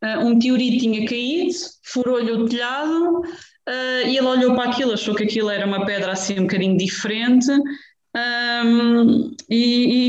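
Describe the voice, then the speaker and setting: A woman's voice: medium pitch, reverberant, dull audio. A woman journalist with a European Portuguese accent, chatting in a radio interview, rambling and hesitant with many restarts. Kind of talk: casual radio interview chat.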